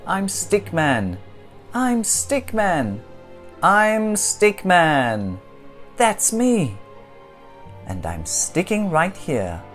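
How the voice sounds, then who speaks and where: A woman voicing a plucky, plaintive living stick, a family dad in a children's storybook, emphatic and drawn-out. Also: on the low side, sing-song, faint music behind, voice pushed up, exaggerated voice. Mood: proud, joyful.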